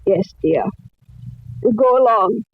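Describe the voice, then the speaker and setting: A woman's voice: on the high side, animated, slightly rough, full character voice. An actress playing an ailing, doting older society lady in a radio drama, groaning and gracious. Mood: doting, weary. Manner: slow, gracious, fussing endearments. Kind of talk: 1940s radio acting. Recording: muffled, noisy 1940s radio recording.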